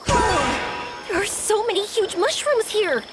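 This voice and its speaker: Game heroine: young, kind, playful, high voice with lively swings, music underneath. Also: female voice, slow, exaggerated voice. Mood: playful, excited. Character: cheerful, kind young woman, playful and encouraging. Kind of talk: video game character dialogue.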